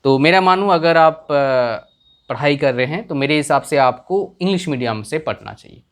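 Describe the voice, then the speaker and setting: Young guy, village language is his mother tongue, earnest advice chat, keeps asking if you get it, slightly high voice. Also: lively intonation, normal speed. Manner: earnest, repeats questions to the viewer. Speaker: career advice YouTuber. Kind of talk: casual advice talk to camera.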